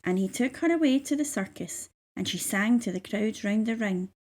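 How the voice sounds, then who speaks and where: A woman reading a children's story aloud in measured, rhythmic verse. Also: medium pitch, lively intonation, darkish tone. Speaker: storybook reader.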